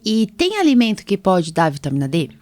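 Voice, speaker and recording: A woman's voice: mid-range voice, huge pitch swings, brisk, a little mellow. A Brazilian Portuguese-speaking woman hosting a podcast, asking questions and chatting in an informal, conversational way. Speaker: podcast host, allergist. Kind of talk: casual interview chat.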